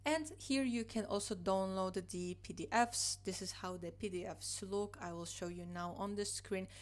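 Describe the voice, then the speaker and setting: A woman's voice: medium pitch, moderate pace. A young woman speaks English. She is a teacher showing her online course on screen and explains each part patiently, repeating herself and filling gaps with "you know." She is recorded indoors at a desk on a computer microphone. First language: Polish. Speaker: Polish language teacher. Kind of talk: screen-share course walkthrough.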